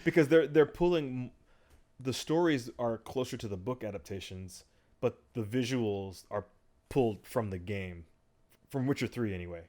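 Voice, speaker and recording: A man's voice: mid-range voice, normal speed. A man in his thirties hosting a podcast, chatting casually and rambling with fillers. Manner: relaxed, rambling, trails off with fillers. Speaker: podcast host. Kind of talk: casual chat.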